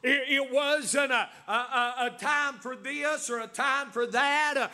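A man's voice: very high voice, a little slow, pushed and bright. A man in his forties preaching a sermon as pastor, with many "uh" fillers and emphatic repetition. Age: 40s.

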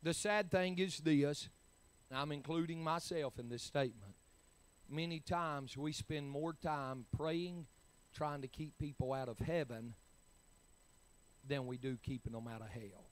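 A man's voice: on the high side, unhurried. A man, preaching a sermon in emphatic, rising bursts. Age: 40s. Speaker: pastor.

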